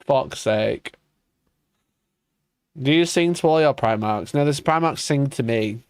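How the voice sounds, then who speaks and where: A man's voice: medium pitch, measured pace, clear, resonant tone, dry recording. A man in his thirties chatting casually on a live stream, reacting to old clips, swearing and laughing at himself. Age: 30s. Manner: swearing, laughing, self-mocking.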